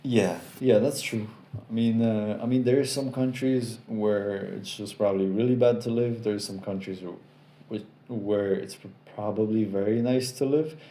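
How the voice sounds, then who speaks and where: A man's voice: fairly low pitch, a little slow, roomy sound. A young man with an American accent hosting a casual live chat and rambling through viewer questions. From Poland. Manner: relaxed, rambling, repeats himself. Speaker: live stream host.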